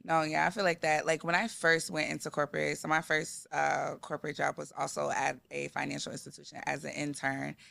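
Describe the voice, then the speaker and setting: A woman podcast host in her thirties with an American accent and heavy vocal fry. Her tone is bright and forward, and she chats casually in a dry, close recording. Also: low-pitched, flat delivery, brisk, hardly any pauses, no room sound. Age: 30s. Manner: chatty, frequent "like" asides. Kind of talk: casual interview conversation.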